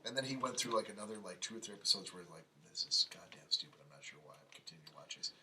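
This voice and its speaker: Male podcast co-host, rapid and bright, chatting casually a little way off the microphone. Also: fairly low pitch, animated, distant mic. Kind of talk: casual chat.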